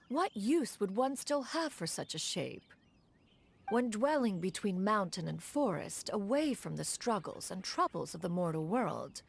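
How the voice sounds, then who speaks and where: Haughty immortal, husky and creaky. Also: female voice, mid-range voice, full character voice. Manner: formal, archaic, calls herself "one". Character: haughty, dignified immortal crane adept in woman's form. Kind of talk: video game character voice acting.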